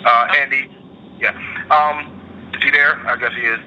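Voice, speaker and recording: A man working as a reporter, putting halting, wordy questions on a conference call. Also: mid-range voice, steady tone. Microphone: telephone conference call. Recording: muffled phone line, faint noise.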